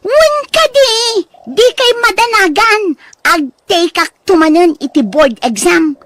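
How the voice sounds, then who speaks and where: Woman acting a haughty young sister, high voice, Ilocano accent, snappish, dismissive, scornful, audio drama. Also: expressive, slow pace, noisy background, exaggerated voice. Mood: haughty, irritated. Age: young adult. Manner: snobbish, dismissive.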